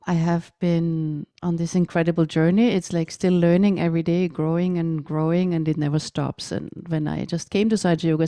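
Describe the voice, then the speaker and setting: A woman's voice: low-pitched, warm tone, no echo. A woman from Austria, speaking English as an on a video call, earnestly sharing her experience with meditation. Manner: earnest, slightly non-native phrasing. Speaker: meditation guide and flute player. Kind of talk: casual personal sharing.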